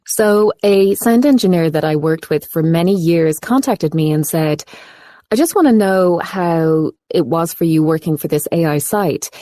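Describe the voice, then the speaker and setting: A woman's voice: sits low, warm tone, no echo, clean studio sound. A woman with an Irish accent, a voiceover artist, talking earnestly in an interview and searching for her words. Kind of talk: phone-in interview.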